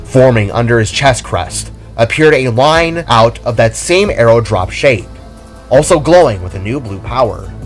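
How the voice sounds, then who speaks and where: A man narrating a scripted audio drama as storyteller. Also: medium pitch. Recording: background music under the voice.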